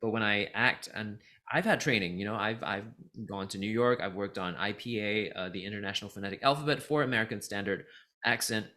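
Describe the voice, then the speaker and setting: A man from Singapore giving a casual spoken review as a vlogger, thinking aloud in a halting, reflective way and stressing key words. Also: lowish voice, pushed and bright. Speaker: vlogger reviewing musicals, voice actor and teacher.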